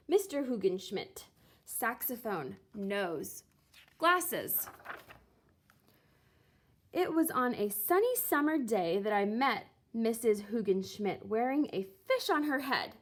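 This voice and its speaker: A woman reading a children's book aloud expressively, with theatrical, deliberate phrasing and drawn-out words. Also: a little high, very animated. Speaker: reader of a children's picture book, teacher. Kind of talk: expressive storybook read-aloud.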